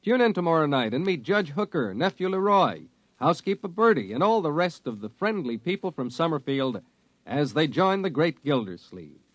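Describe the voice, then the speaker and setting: A man's voice: a little high, very animated, moderate pace, dry recording, rough recording. An American man reading a polished, upbeat network promo in 1950s radio announcer style. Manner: polished, upbeat sales delivery.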